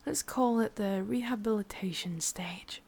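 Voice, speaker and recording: Woman as a slow, whispering English witch lover. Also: mid-range voice, a little mellow. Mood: soothing, possessive. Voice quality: breathy, husky whisper. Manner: slow, intimate, teasing. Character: sadistic, possessive, doting immortal witch lover. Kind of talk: whispered ASMR roleplay.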